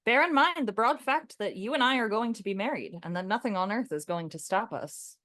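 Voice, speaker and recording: A woman acting a devoted young gentleman suitor in a radio comedy. The voice is fairly high and lively, dry in sound, earnest and stubbornly sure of itself. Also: fairly quick, dry recording. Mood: determined, fond. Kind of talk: comic radio play acting.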